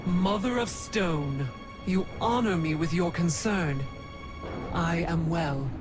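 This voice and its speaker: An actor playing a sly demon infiltrator in a video game cutscene, taunting with silky mock courtesy. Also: male voice, a little high, slow pace, music in the background.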